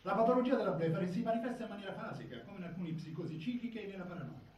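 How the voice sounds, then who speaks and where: An actor playing a critical, argumentative psychiatric expert in a formal, insistent stage monologue. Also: male voice, high voice, lively intonation, very fast, a little mellow. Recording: strongly echoing hall, far mic, dull sound.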